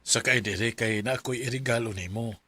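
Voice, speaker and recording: A man's voice: mid-range voice, a little fast, pushed and bright, slightly rough. A man playing a coaxing, generous middle-aged stepfather in a radio drama, with a Filipino accent.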